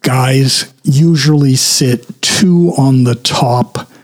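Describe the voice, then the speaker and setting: A man hosting a podcast, telling an unscripted story in a chatty, descriptive way, with a scratchy, raspy throat today. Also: mid-range voice, extremely slow. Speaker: podcast host.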